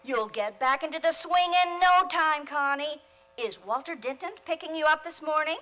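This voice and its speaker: A woman's voice: high voice, normal speed, pushed and bright, soft background music. A woman playing a kindly, chatty landlady in an old-time style radio comedy, genteel and encouraging.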